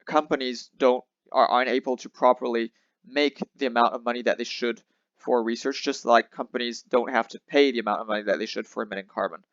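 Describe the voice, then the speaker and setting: A man's voice: mid-range voice, fairly even intonation, a little fast, a bit bright, no echo, lo-fi sound. A man hosting a show who comments on a debate clip in a casual way, thinking aloud with fillers and restarts. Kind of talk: reaction commentary.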